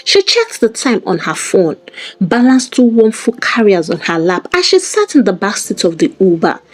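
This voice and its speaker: A woman narrating a dramatic scripted story in an expressive, deliberate storytelling manner. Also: mid-range voice.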